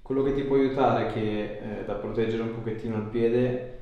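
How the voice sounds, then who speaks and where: A man's voice: mid-range voice, fairly even intonation, moderate pace, muffled recording. A man in his thirties working as a chiropractor, giving short, reassuring instructions to a patient during a hands-on exam. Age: 30s. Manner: reassuring, encouraging. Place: small clinic treatment room. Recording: very echoey small room, faint noise, clicks.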